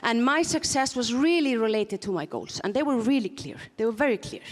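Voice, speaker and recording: A woman's voice: a little high, lively intonation, a little fast, long reverb tail. A woman from Iceland with a mixed Icelandic, Scottish and English accent, doing self-mocking stand-up comedy on a conference stage and laughing at her own jokes. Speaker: stand-up performer.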